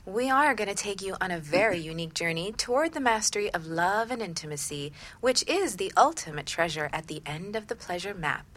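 Bright-voiced middle-aged woman hosting radio. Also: mid-range voice, expressive, fairly quick, hardly any pauses. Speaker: radio talk show co-host and relationship coach. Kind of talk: part scripted radio show presenting, part chatty banter.

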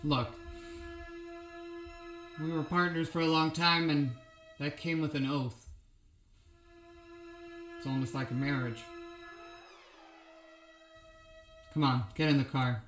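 A man's voice: fairly high pitch, flat delivery, unhurried, long pauses, a bit bright, faint music behind. A man playing an evasive, chummy old police partner in an improvised noir audio play, coaxing and noncommittal. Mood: coaxing, controlling. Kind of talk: improvised film noir audio drama acting.

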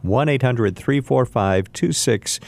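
A mature man with a mid-pitched voice co-hosts a radio program. He moves between casual chat and clear announcements to listeners. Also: slow pace. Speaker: radio co-host.

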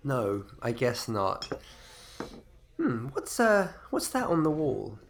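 A man as a curious newcomer, creaky and expressive. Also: medium pitch, slow pace, noisy background, heavily acted. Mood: hesitant, probing. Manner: hesitant, inquisitive, courteous. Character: curious, polite semi-retired male newcomer to a village. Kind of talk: audio drama dialogue.

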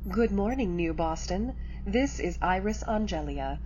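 An actress playing a formal morning news anchor, reading measured scripted news in an audio drama. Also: female voice, mid-range voice, lively intonation, highs cut off, loud music behind the voice, natural voice.

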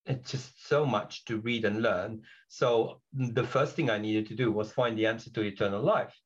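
A man from Belgium, whose first language is not English, speaking as a podcast guest in a reflective way with many pauses and restarts. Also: on the low side, breathy edge, dull audio. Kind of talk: podcast interview.

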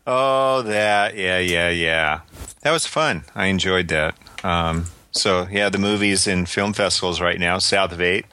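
A man's voice: low-pitched, unhurried, bright, forceful tone, smooth. A tired man co-hosting a podcast, chatting casually in a laid-back, agreeable way. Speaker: podcast co-host.

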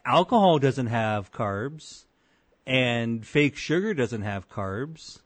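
A man's voice: mid-range voice, animated, takes it slowly. A man in his thirties hosting a podcast, with casual chat and dry, teasing humor. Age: 30s. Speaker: podcast host.

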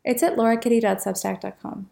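A woman's voice: on the high side, fairly even intonation, fast talker, gravelly, dark tone. A woman hosting a podcast, reading a scripted introduction and promoting her newsletter and website. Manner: read-aloud promotional delivery. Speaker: podcast host.

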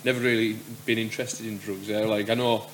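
Man, podcast host, fairly low voice, relaxed and agreeable, faint hum. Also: a little fast, rough recording. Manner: relaxed, agreeable, lots of "yeah". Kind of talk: casual podcast chat.

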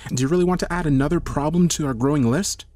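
A man's voice: lowish voice, moderate pace, a little hiss or hum, natural voice. A man playing an anxious, evasive husband in an audio story, stammering and hesitant as he pleads. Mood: pleading. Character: nervous husband lying to police, anxious and evasive.

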